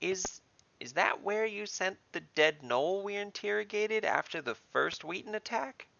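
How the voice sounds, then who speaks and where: A man's voice: sits high, animated, a little slow, gravelly, sharp tone, no echo, muffled recording, voice pushed up, full character voice. A man voicing an eager, inquisitive female adventurer in a fantasy audio storybook, keen and questioning. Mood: suspicious.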